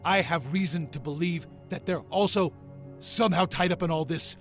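A man plays a reclusive ex-astrophysicist obsessed with conspiracies. His voice sits on the high side for a man and he is excitable and paranoid. It is an audio drama scene with faint music. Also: normal speed, close to an everyday voice. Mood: conspiratorial.

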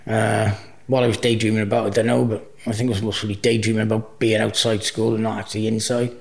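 A man's voice: lowish voice, flat delivery, fast talker, gravelly, darkish tone. A middle-aged man from Cardiff with a Welsh accent, a Welsh first-language speaker, talking casually as an interview guest in a rambling, easygoing way with many restarts. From Wales.

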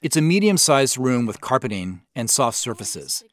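A man narrates a director's commentary as the creator of an audio drama. His mid-pitched voice moves with lively inflection. He explains his choices in a reflective way. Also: normal speed, dry recording.

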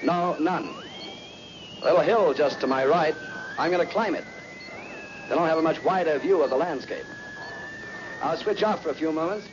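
An actor playing a lonely, dazed astronaut in an old-time radio drama, talking in a hesitant, searching, folksy way. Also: male voice, a little high, normal speed, long pauses, close to an everyday voice. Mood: subdued wonder. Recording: dull, noisy old radio recording.

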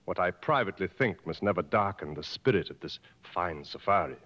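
A world-weary safari guide from an old radio drama. His talk is frank and dry, with very lively pitch movement. The sound is muffled and carries long reverb. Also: a little fast. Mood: grave, frank. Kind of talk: 1950s radio drama acting.

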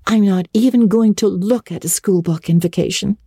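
A woman's voice: medium pitch, moderate pace, warm tone, husky, no echo, studio quality, voice pushed up. A woman voicing an eager, talkative, dreamy young girl in a dramatic storybook reading, gushing with breathless earnestness. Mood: chatty, dreamy eagerness.

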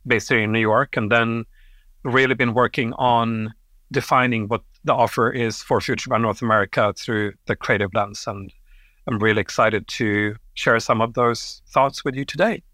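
A man from Sweden, a guest on a podcast, answering in a measured, reflective way with occasional fillers. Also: medium pitch, steady tone, sharp tone, no echo, clean studio sound. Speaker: guest, creative executive. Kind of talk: interview answers.

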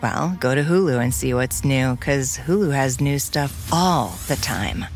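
A woman's voice: extremely low pitch, animated, measured pace. A woman reading a scripted promotional advertisement in a chatty, playful way. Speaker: advertisement announcer. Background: music.

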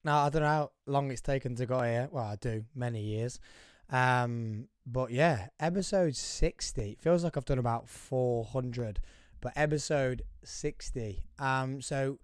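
Male host, husky and hoarse, chatty solo intro, smooth tone, dry close sound. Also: medium pitch, dry recording. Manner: chatty, rambling, addresses listeners as guys. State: hoarse, voice fading. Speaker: podcast host. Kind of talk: casual solo podcast intro.

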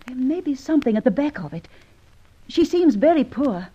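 A woman's voice: sits high, big pitch swings, normal speed, dark tone, slightly rough, full character voice. A woman acting a spirited, kind-hearted companion to an adventurer in an old-time radio serial, playful, sympathetic and lightly arch. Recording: muffled, dull old radio recording.

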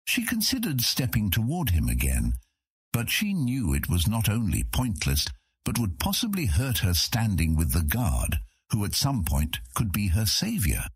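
A man's voice: lowish voice, huge pitch swings, normal speed, slightly rough, no echo, clean studio sound. A man narrating a scripted fantasy audiobook as its storyteller.

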